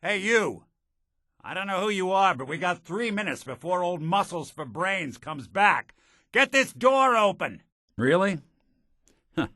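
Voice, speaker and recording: An actor playing a world-weary, wry robot private detective in a video game, with a clipped hard-boiled gumshoe delivery. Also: male voice, high voice, big pitch swings, a little slow, bright, forceful tone, dry recording, studio quality, heavily acted. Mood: urgent, raised. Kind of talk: scripted game character voice lines.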